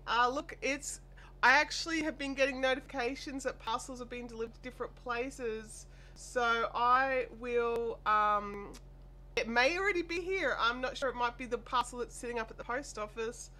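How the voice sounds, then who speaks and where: An Australian woman hosting a casual craft live stream, chatty and apologetic, her voice made deeper and nasal by a chest cold and sore throat. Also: sharp tone. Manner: chatty, apologetic, reads viewer comments aloud. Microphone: desk microphone. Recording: faint air conditioner hum.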